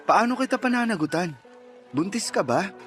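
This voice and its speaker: A man's voice: sits high, animated, normal speed, a bit bright, faint music behind. An actor playing an uneasy, exasperated man of about thirty in a Filipino radio drama, hesitant and protesting. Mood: uneasy, defensive.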